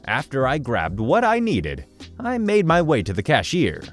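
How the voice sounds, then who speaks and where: A man reads an online story aloud, with his pitch swinging widely as he tells it. Soft music plays behind him. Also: normal speed. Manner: animated storytelling. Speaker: story narrator. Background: soft background music.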